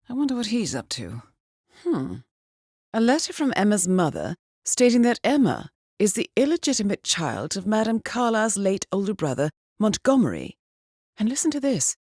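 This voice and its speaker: An actress playing a composed, shrewd woman who guides an assassin in a video game, speaking in a measured, articulate, formal and coolly understated way. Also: mid-range voice, no room sound, clean studio sound. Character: composed, shrewd female handler guiding an assassin. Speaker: mission handler. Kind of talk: video game voice acting, mission briefing.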